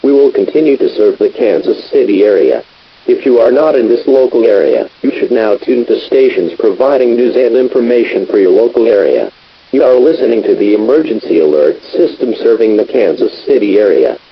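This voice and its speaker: A man playing an official radio station announcer, reading a formal, impersonal emergency notice in a husky voice. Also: on the low side, expressive, moderate pace. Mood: official, serious. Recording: dull lo-fi radio broadcast.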